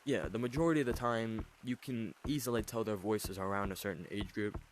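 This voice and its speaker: A thirteen-year-old boy with an unusually deep voice, hosting a casual interview with fillers and long rambling questions. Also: brisk, a little hiss or hum, rough recording. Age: 13, teenage boy. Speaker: host interviewing players.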